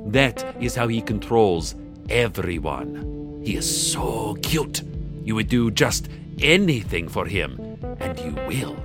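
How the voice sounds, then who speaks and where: A man's voice: fairly low pitch, pitched above the performer's own voice. A man voicing a spectral ghost woman who speaks formally and without contractions, full of dread. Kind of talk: radio drama. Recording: guitar music behind.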